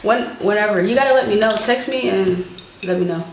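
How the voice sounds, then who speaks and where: A woman's voice: mid-range voice, fairly quick. Young woman vlogger, echoey room. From Florida, United States. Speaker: family vlog host, soldier. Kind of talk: casual chat to viewers, Q&A. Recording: roomy echo, faint background noise.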